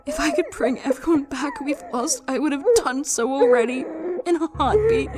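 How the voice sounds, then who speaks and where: Woman playing tender wife, trembling, soothing, anguished, very expressive pitch, storybook drama. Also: moderate pace. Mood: tearful, pleading. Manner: soft, trembling, comforting.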